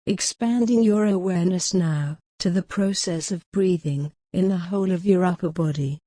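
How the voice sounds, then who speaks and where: A woman narrating a guided meditation in a measured, instructional manner, pausing gently between phrases. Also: lowish voice, dry recording, studio quality.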